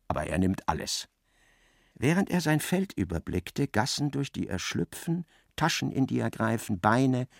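A man narrating a literary radio drama as a wry, intense storyteller, expressive and precise, with a creaky voice. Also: mid-range voice, no echo, clean studio sound.

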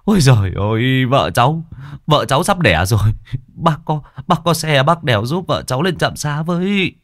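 Man acting a frantic young village husband, pitch leaping. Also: expressive, normal speed, dark tone, dry recording, studio quality. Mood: frantic pleading. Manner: stammering, repeating words in panic.

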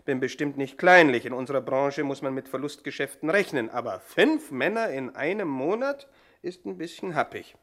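Actor playing a smug menacing gang boss. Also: male voice, mid-range voice, lively intonation, normal speed, natural voice. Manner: cool, smug, quietly threatening. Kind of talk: 1960s radio drama acting.

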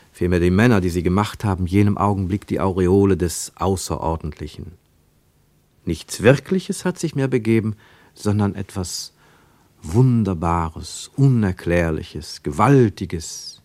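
An actor as a reflective, analytical narrator in a radio play, commenting with deliberate, emphatic phrasing. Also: male voice, on the low side, a little mellow, dry recording. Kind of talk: scripted literary narration and commentary.

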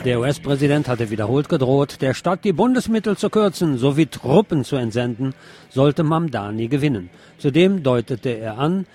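A man's voice: mid-range voice, darkish tone. A man reading scripted news as a radio presenter.

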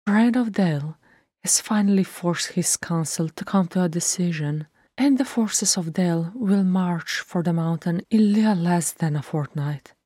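A woman's voice: on the low side, moderate pace, dark tone, no room sound, studio quality, voice pushed up, natural voice. A woman voicing a firm, loving dwarf queen speaking to her grown son in a fantasy story, with a stately manner. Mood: weary, grave. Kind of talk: fantasy audiobook character voice.

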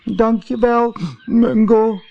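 A man voicing a sad, gentle young princess in a plaintive, pleading manner for a fairy tale. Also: extremely high pitch, flat delivery, takes it slowly, creaky, lo-fi sound, voice pushed up, heavily acted. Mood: tearful, grateful.

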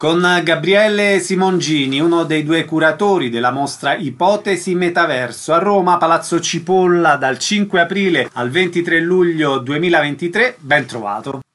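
A man's voice: on the high side, normal speed, few pauses, fairly bright tone, faint music behind. A male radio host presenting guests and putting short questions to them in an interview style.

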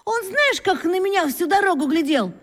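A woman playing an excitable, boastful schoolboy who fancies himself a detective, telling his tales breathlessly in a children's radio drama. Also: extremely high pitch, moderate pace. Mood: boastful, suspenseful. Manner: breathless, boastful storytelling with exclamations.

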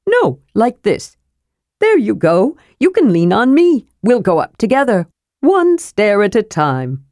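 A woman's voice: mid-range voice, huge pitch swings, measured pace, darkish tone, no room sound, studio quality, pitched above the performer's own voice. A woman voicing an eager, chattering male kender who protests indignantly. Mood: coaxing. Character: cheerful chattering male kender, small and nimble.

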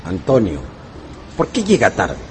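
A man's voice: lowish voice, wildly swooping pitch, measured pace, faint music behind. A man playing a stern, formal schoolteacher in a radio drama, with an authoritarian way of addressing pupils. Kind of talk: Spanish-language radio drama acting.

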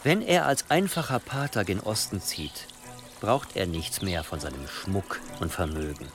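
A man's voice: sits low, lively intonation, a little slow, music in the background. An actor playing a ruthless, scheming churchman who speaks with formal, calculating deference in a German historical radio drama. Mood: smug, deferential. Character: scheming, obsequious, ruthless churchman advising his bishop.

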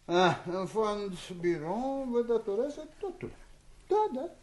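An actor playing a jovial, urbane senior playwright in a radio comedy, speaking in an expansive theatrical way. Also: male voice, high voice, sing-song. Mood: musing, emphatic. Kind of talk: Romanian radio comedy play acting.

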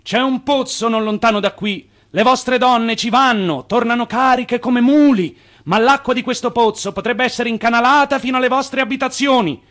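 A high-pitched male voice in a radio play. He is an immigrant dreamer, ardent and charming, impulsive in his ideals. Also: normal speed, few pauses, fairly bright tone. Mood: rousing oratory. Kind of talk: radio play acting, shifting between narration and oratory.

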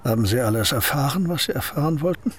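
A man's voice: medium pitch, lively intonation, fast, natural voice. A withdrawn aging painter, played by a man, speaks in short, clipped answers and gives little away. It is a radio-play scene with faint noise in the background. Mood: guarded. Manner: terse, clipped replies. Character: aging reclusive male painter, curt and guarded. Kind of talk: radio drama acting.

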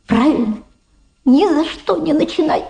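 Radio drama: vulgar, effusive middle-aged showgirl, high-voiced and very animated, rambling and repeating herself. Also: a little slow, creaky, exaggerated voice. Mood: nosy, fussing. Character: gushing, vulgar, faded American showgirl reunited with her daughter. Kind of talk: Soviet radio drama acting.

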